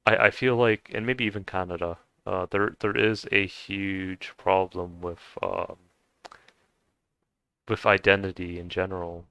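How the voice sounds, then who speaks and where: A man's voice: sits low, little pitch movement, a little slow, vocal fry, pushed and bright, clear, resonant tone, no room sound. A young man talking in a casual, hesitant monologue with many fillers and restarts. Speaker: vlogger.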